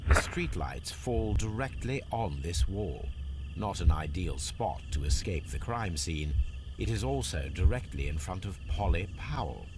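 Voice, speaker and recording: A man playing a calm, confident Victorian gentleman detective in a video game, with a British accent and a precise, formal manner. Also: deep voice, unhurried, over loud music, heavily acted. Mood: musing. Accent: British English.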